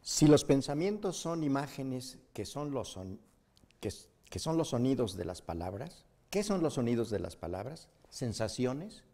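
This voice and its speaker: Older man reading questions, mellow voice. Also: medium pitch, big pitch swings, a little slow. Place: large auditorium stage. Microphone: table mic on a stand.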